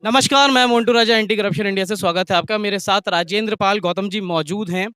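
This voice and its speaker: Brief: male online news host, high smooth voice, quick questions, dry close mic. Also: a bit bright, no room sound, soft background music. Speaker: news interviewer and channel host. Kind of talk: street news interview.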